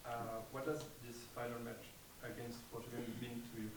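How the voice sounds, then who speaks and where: A male journalist, not a native English speaker, asking questions in a hesitant, apologetic, halting way. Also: mid-range voice, little pitch movement, reverberant, noisy background. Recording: distant mic with room chatter.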